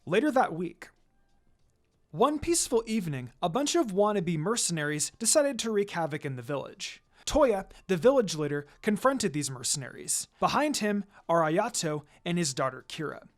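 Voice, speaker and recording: A narrator reading fan fiction aloud in a scripted, expressive storytelling style. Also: mid-range voice, moderate pace. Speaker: story reader.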